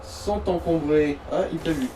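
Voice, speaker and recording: A man's voice: a little high, unhurried, noisy background. A man chatting casually as a game co-commentator, giving informal, slangy advice to the player. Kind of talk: casual gameplay chat. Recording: distant mic, loud music over voice.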